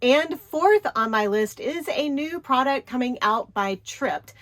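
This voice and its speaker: A middle-aged woman with a stuffy, hoarse voice from a cold, chatting upbeat through a shopping wish-list vlog. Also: high-pitched, expressive, a little slow, a bit bright, a little hiss or hum. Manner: chatty, upbeat, with little laughs. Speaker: travel vlogger.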